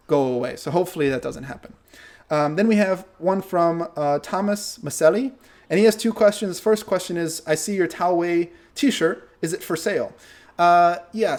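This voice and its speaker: A young man hosting his channel, who says English is not his first language, answering viewer questions in a relaxed, thinking-aloud way. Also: a little high. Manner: relaxed, thinks aloud with fillers and self-corrections. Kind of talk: casual Q&A talk to camera.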